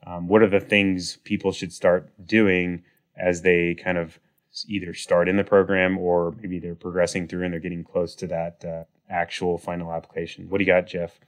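Low-voiced raspy male host with a cold. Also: normal speed. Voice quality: raspy, nasal. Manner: relaxed, conversational, with fillers. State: has a cold, raspy voice and clogged nose. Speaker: podcast host. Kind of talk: casual podcast chat.

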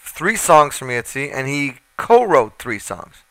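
A man's voice: mid-range voice, sing-song, unhurried, pushed and bright, no room sound, low-quality recording. A man hosting a radio interview, chatty and enthusiastic, asking questions in stacks.

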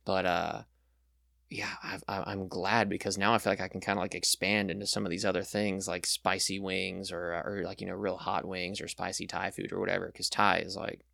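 A man's voice: low voice, little pitch movement, a little fast, gravelly, sharp tone, dry recording, studio quality. A young man chatting as a podcast guest, rambling and enthusiastic with lots of fillers. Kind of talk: casual chat.

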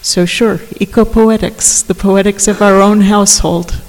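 A woman speaking as a panelist in a reflective, literary way, with deliberate, poetic phrasing. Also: medium pitch, fairly even intonation, a little mellow. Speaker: panelist, poet. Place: large hall, live panel. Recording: reverberant hall.

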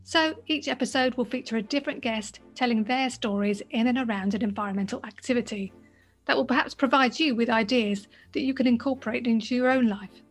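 A woman with a slightly raised pitch hosts her podcast, reading her introduction in a measured, clear way. Faint music plays quietly underneath. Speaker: podcast host. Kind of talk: scripted introduction and interview questions.

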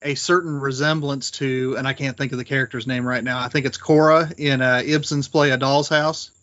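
A man co-hosting a podcast in casual, analytical discussion, thinking aloud with halting restarts, on dull, faintly noisy audio. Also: medium pitch, fairly even intonation, a bit bright. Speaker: podcast co-host. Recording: dull lo-fi audio with background noise.

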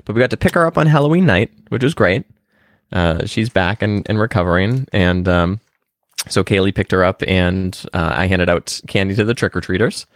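Man, very low voice, dry close mic, casual rambling podcast talk. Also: moderate pace, no room sound. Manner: rambling, with many fillers and restarts. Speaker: podcast co-host. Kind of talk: casual chat.

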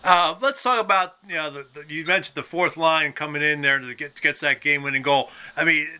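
Male sports podcast host, higher-pitched, very bright voice, halting and rambling as he asks questions. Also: clear, resonant tone. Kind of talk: conversational sports interview.

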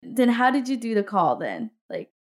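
A woman's voice: fairly high pitch, big pitch swings, normal speed. A woman interviewing a guest in casual chat, friendly, laughing often and trailing off mid-sentence.

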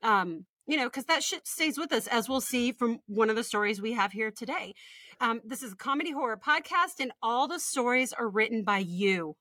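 Bright, high-voiced Texan woman bantering casually. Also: lively intonation, normal speed, no room sound. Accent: Texan American. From West Texas, United States. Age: 40s. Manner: informal, bantering, emphatic. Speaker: podcast co-host. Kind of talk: casual comedic chat.

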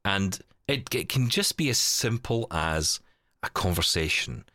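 A man with a very low voice that still has a bright, forceful edge. He talks casually and reflectively as a podcast co-host, rambling through explanations and checking in with tag questions. The sound is close and dry. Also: expressive, unhurried, no room sound.

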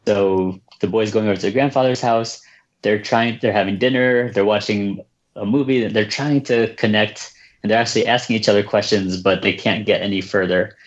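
A reserved, soft-spoken man from the United States, answering questions about his books in a casual interview with many fillers and restarts. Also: on the low side, fairly even intonation, moderate pace, dull audio. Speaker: children's book author, guest.

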